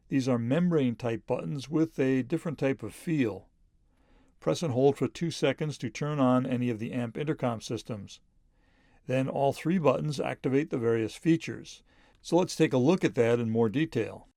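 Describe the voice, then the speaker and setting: A man presenting a hands-on product tutorial step by step, pausing mid-sentence as he demonstrates. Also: medium pitch, dark tone. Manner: halting, pauses mid-sentence while demonstrating. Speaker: product reviewer giving a demonstration. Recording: clear background noise, room ambience.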